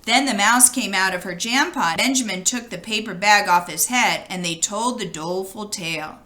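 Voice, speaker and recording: A woman reading a children's storybook aloud in an expressive, animated way, as if to young listeners. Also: mid-range voice, moderate pace. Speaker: storybook reader.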